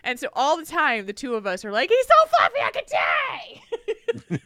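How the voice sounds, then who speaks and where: Woman co-host, bubbly and giggly, bright tone, quick to do silly voices. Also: high-pitched. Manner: giggly, animated, slips into playful silly voices. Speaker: podcast co-host. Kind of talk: casual chat on a live podcast stream.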